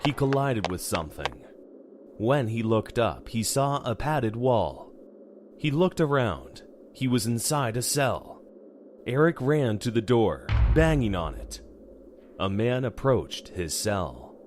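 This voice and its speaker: A man's voice: medium pitch, sing-song, takes it slowly, a little mellow, slight background noise. A man narrating a scripted horror drama as its storyteller.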